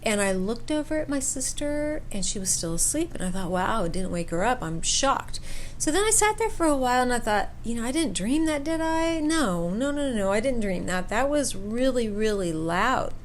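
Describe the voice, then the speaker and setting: A woman's voice: a little high, very animated, normal speed, slight background noise. A woman telling a personal story casually, acting out bits of dialogue and repeating words for emphasis. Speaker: storyteller sharing a personal camping experience.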